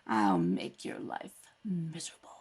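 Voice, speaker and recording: A woman's voice: extremely low pitch, sing-song, a little slow, a little mellow, husky, pitched below the performer's own voice, heavily acted. A woman acting a cruel, spoiled young socialite daughter in a radio comedy, with a cool, taunting, superior manner. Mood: menacing, taunting.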